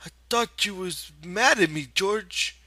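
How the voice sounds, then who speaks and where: A man's voice: slow pace, no room sound, full character voice. A man voicing a big, simple-minded man with a childlike, eager, pleading manner and rustic speech, in a storybook reading. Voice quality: high, loud, strained voice.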